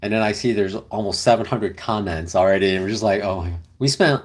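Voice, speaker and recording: Brief: young male streamer, low-ish voice, relaxed rambling chat with fillers. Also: quick pace. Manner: rambling, easygoing, many fillers. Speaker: livestream host. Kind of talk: casual livestream chat reading comments. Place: car camping, indoors with partner.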